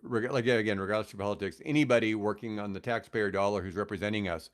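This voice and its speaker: Man with halting, emphatic podcast delivery. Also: on the low side. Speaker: podcast guest, comedian. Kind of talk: casual opinionated podcast chat.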